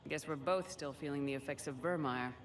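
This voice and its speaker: A woman playing a steady, direct human military commander in a video game, asking questions in a businesslike way. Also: sits low, fairly quick. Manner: businesslike, probing. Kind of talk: video game cutscene acting. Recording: slightly distant, faintly noisy game capture.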